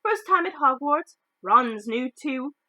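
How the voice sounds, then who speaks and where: A reader playing a brisk, bright-voiced motherly woman. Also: sits high, animated, no echo, pitched above the performer's own voice, full character voice. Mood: kindly, reassuring. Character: kindly plump mother shepherding her children, brisk and reassuring.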